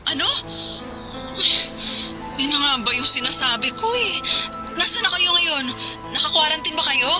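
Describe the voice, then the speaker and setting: A woman's voice: high voice, animated, moderate pace, pauses often, over loud music. A woman acting a worried working wife who anxiously scolds her husband over a phone call in a radio drama. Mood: alarmed. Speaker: wife calling from work.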